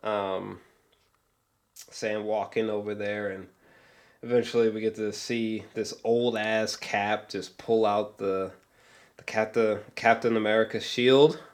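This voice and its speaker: A man giving a casual, rambling film review as an excited fan, swearing freely, often hesitating and repeating himself for emphasis. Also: fairly low pitch, slow pace.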